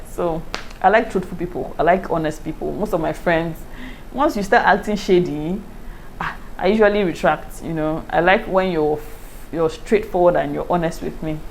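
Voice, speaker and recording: A woman with a Nigerian accent, chatting casually to her viewers in a lively way and often breaking into laughter. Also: sits low, creaky, slight background noise, rough recording. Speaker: YouTuber. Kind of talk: casual review chat to camera.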